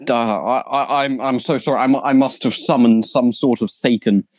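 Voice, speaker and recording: A young man co-hosts a chatty podcast. He tells stories at an ordinary pitch, stumbling over words and laughing often. The sound is close and dry. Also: steady tone, moderate pace, a little mellow, no room sound. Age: young adult. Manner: stumbling, giggly. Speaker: podcast co-host. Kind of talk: casual chat.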